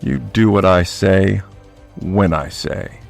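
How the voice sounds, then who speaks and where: An actor playing a smug, controlling small-town crime patriarch in a radio drama, speaking in a measured, formal way with veiled menace. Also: male voice, sits low, creaky, faint music behind, full character voice. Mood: smug, controlled.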